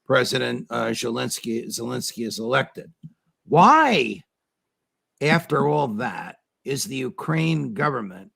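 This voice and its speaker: A male American interviewer with a heavily gravelled, fry-laden voice at a medium pitch. He lays out short statements deliberately and emphatically, then turns them into pointed, incredulous rhetorical questions. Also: fairly bright tone. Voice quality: gravelly, creaky voice. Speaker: talk show host interviewing a guest.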